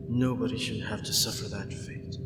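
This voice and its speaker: A man plays a young heir to a dark fantasy throne in an audio roleplay. He is dorky, swinging between pompous and awkwardly considerate, with slow delivery. The voice sits back from the mic in a long reverb, over noisy ambience. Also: on the low side. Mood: gentle, apologetic. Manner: grandiose monologue giving way to awkward, hesitant talk. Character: dorky young dark prince, pompous when rehearsing, apologetic and kind. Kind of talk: scripted ASMR roleplay acting. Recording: echoey hall, distant, noisy ambience.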